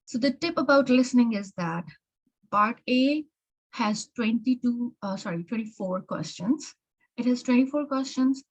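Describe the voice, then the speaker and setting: A woman's voice: a little high, normal speed, muffled recording. Woman instructor with an American-tinged accent, informal and chatty, repeats herself while giving exam tips. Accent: learned American English. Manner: chatty, repeats words and restarts often.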